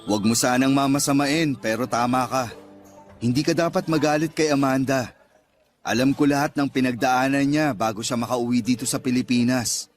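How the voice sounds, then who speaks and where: A man's voice: medium pitch, fairly even intonation, normal speed, soft background music, natural voice. A man playing a kind, easygoing Filipino suitor in a radio drama, friendly and conversational. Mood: gentle, hesitant.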